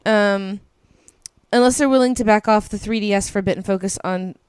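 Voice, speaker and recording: A woman's voice: medium pitch, fairly quick, clean tone, no echo, studio quality. A woman co-hosting a podcast, reading listener emails aloud in a casual, gushing way that is peppered with swearing and ums. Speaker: podcast co-host.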